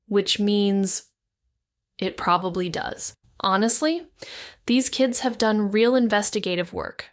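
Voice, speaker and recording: A woman playing a guarded, world-weary amateur sleuth in a noir audio drama, wry and deliberate as she speaks. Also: medium pitch, no echo, studio quality, natural voice. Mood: probing, measured. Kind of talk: noir audio drama, first-person narration and dialogue.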